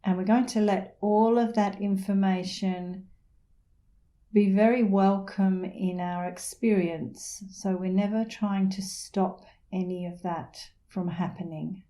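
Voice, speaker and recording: A middle-aged woman leading a guided meditation in a soothing, unhurried manner with gentle pauses. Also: mid-range voice, fairly even intonation. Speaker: meditation instructor.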